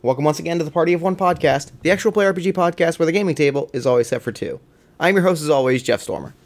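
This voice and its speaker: A man hosting a tabletop game podcast, chatting casually and eagerly, and saying again and again how excited he is. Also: on the high side, rapid-fire. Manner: eager, gushing, repeats that he is excited. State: has a cold, losing his voice. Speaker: podcast host. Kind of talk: casual chat.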